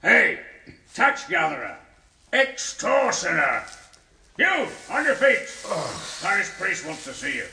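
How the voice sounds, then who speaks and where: An actor playing a rough, contemptuous jailer in a radio play, barking out curt words. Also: male voice, high voice, sing-song, slow pace, long pauses, bright, forceful tone, exaggerated voice. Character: rough, bullying male jailer, loud and contemptuous. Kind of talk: radio drama acting. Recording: distant, echoey, noisy.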